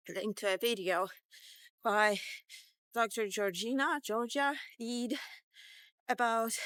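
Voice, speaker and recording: A woman's voice: fairly high pitch, fairly bright tone, clean tone, no echo. A woman in her fifties talking candidly in a rambling vlog while out walking, with many fillers. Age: 50s. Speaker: vlogger. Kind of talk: casual walk-and-talk vlog. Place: outdoors, walking.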